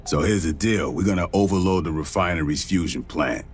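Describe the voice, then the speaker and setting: An actor playing a gruff, sardonic marine commander with a husky voice, giving a blunt, slangy mission briefing in a game. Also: male voice, low-pitched, a little fast, a little hiss or hum, close to an everyday voice. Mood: gruff, businesslike.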